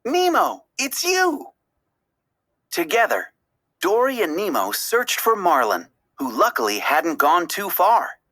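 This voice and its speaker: A woman narrating a children's story aloud, expressively, with deliberate, dramatic phrasing. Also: deep voice, very animated, fairly bright tone.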